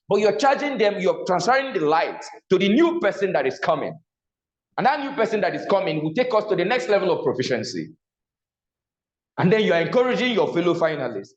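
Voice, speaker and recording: Nigerian male instructor in an echoey room. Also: high-pitched. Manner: asks the class questions and answers them himself. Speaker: public speaking instructor. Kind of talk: classroom lecture. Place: classroom. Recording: echoey room, slightly dull sound.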